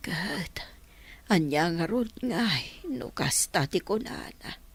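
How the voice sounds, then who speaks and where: A woman playing a frail, resigned elderly mother in a radio drama, with a breathy, whispery voice and an Ilocano accent. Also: fairly low pitch, expressive, takes it slowly, exaggerated voice. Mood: weak, resigned.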